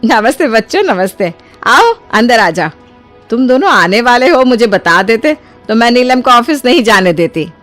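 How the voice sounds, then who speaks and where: Woman voicing a lively, doting older aunt. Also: fairly high pitch, normal speed, soft background music. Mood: welcoming. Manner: affectionate, coaxing, expressive. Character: kindly, doting older mother-in-law and aunt.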